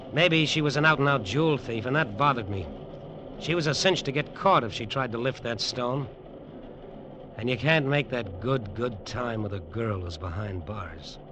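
An American actor playing a cold, smooth-talking bodyguard and killer, narrating a vintage radio drama in a terse, deliberate, hard-boiled manner. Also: male voice, medium pitch, background noise, natural voice. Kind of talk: 1940s-50s radio drama noir narration. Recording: vintage radio broadcast with music behind.

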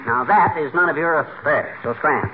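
A man's voice: a little high, moderate pace, a crowd in the background, close to an everyday voice. An actor playing a boastful, blustering, easily flustered married man in a 1940s radio comedy, stammering and self-important. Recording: noisy, muffled 1940s radio recording.

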